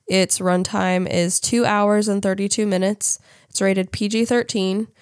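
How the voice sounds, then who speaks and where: A woman's voice: mid-range voice, fairly even intonation, unhurried, darkish tone, clean tone, dry recording, clean studio sound. A woman co-hosting a casual podcast, congested from a cold, reading out facts with frequent fillers and some hesitation over names. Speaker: podcast co-host.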